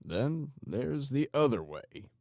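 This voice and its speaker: A man voicing a calm, sinister male psychopathic gangster in an audiobook, with menacing, mock-teacherly politeness. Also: medium pitch, takes it slowly, no echo, studio quality, pitched above the performer's own voice, heavily acted. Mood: calm menace. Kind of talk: audiobook character dialogue.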